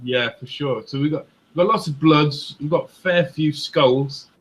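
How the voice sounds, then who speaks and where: A man's voice: on the high side, normal speed, a bit bright, rough recording. A man from England chatting casually as a show co-host, his Midlands accent mixed with northern and southern traces. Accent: English Midlands with northern and southern traces. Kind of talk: casual chat.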